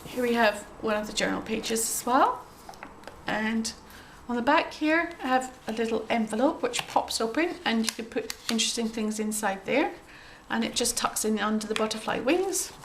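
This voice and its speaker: Woman, croaky from a cold, gentle and chatty, lots of ums, slightly high pitch, faint background noise. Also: normal speed. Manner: gentle, chatty, with frequent ums and throat clearing. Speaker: craft journal maker showing her work. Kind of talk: casual show-and-tell.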